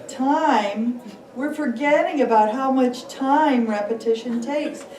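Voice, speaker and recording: High-voiced woman across an echoey room. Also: slow pace, darkish tone. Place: large classroom, indoors. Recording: echoey room, distant, noisy.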